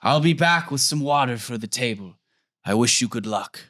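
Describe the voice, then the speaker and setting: A man's voice: mid-range voice, animated, moderate pace, a bit bright, lightly acted. A man voicing an ageless skeletal waiter in a comedy table read, speaking in a grand, ominous, archaic formal manner. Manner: portentous, archaic formal speech.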